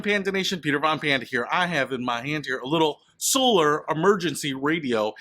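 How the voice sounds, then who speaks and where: A man's voice: mid-range voice, fairly bright tone, slight background noise. A man hosting his own channel, giving a casual, upbeat product review in a studio, with a playful showman's manner.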